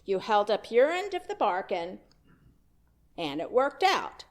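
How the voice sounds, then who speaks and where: A woman's voice: mid-range voice, sing-song, unhurried. A woman minister giving a sermon in a church, telling a story in a conversational way with wry asides. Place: church sanctuary.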